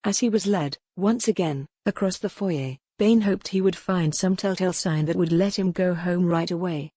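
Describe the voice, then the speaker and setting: A woman reads a novel aloud in an even, measured way. Her voice sits fairly low with a dark, mellow timbre, and the close mic leaves a dry sound with no room. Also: breathy edge, no room sound. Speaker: audiobook narrator. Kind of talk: scripted novel narration.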